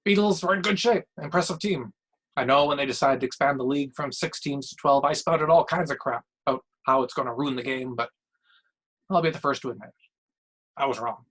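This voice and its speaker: A man's voice: medium pitch, a little fast, a bit bright, natural voice, rough recording. Man acting blustery ex-coach hockey commentator, emphatic. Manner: ranting, emphatic, slangy short sentences. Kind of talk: acted sports commentary in a short story reading.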